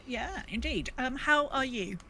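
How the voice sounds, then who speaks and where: A woman's voice: sits high, animated, unhurried, bright, forceful tone, slight background noise. A British woman chatting casually as a podcast co-host. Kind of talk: casual chat.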